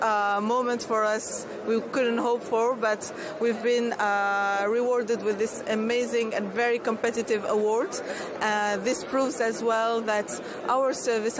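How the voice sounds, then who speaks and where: Woman founder from Tunisia, noisy expo. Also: a little high. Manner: earnest, with restarts and fillers. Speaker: startup founder. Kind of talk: interview answers. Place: outdoor expo stand. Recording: clear street noise behind.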